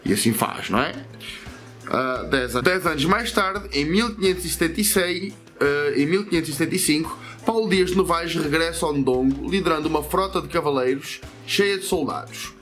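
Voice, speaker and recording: A man's voice: a little high, animated, moderate pace, fairly bright tone, soft background music. A man with a European Portuguese accent hosts a history channel, reading a comic aloud and adding chatty, digressive commentary full of fillers and self-corrections.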